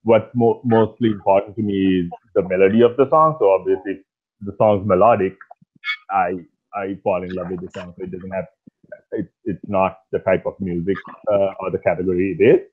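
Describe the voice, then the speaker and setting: A man in his thirties from Sri Lanka, a singer talking casually in an interview over a video call, with a rough voice and a relaxed, rambling way of speaking full of fillers. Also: sits low, a little fast. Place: small indoor room at night. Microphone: earphones on a video call. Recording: tinny phone-like call audio.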